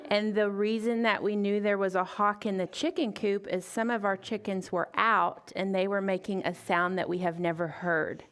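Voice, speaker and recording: Woman with a clean smooth voice, medium pitch, explaining stuff to kids casually, pauses a lot. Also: fairly bright tone. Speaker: guest chicken keeper and parent. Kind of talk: informal explanatory talk to children. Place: library story time room.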